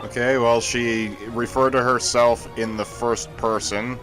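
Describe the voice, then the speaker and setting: A man doing casual, joking co-commentary on a video game in a wry, deadpan manner. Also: mid-range voice, a little slow, soft background music. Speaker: let's play co-commentator.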